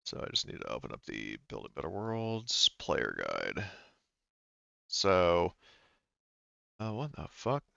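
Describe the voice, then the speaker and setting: Deep smooth-voiced guy running a tabletop game, rambly, dull lo-fi call audio. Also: wildly swooping pitch, slow, long pauses, sharp tone, dry recording. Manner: rambling, full of fillers and restarts. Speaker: game master.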